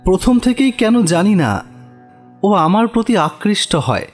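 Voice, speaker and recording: A man's voice: sits high, big pitch swings, measured pace, soft background music, voice pushed up. A man voicing a gaunt, sickly, doomed man who confesses his guilt solemnly and politely in a horror story. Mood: haunted, recounting. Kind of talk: horror audio story character acting.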